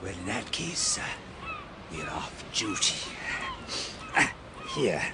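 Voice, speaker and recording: An actor playing a genial, garrulous Irish police inspector with a droll, lilting manner in a radio drama. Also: male voice, on the low side, wildly swooping pitch, takes it slowly, very noisy, exaggerated voice. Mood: sly, convivial. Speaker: police detective. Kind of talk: naturalistic radio drama acting.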